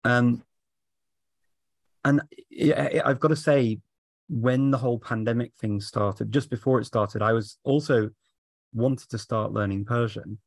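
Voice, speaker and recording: Brief: middle-aged male interviewer, gravelly low voice, dry close sound. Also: measured pace, dry recording. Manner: conversational, probing questions with hedging fillers. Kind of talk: casual video call chat. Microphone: webcam video call.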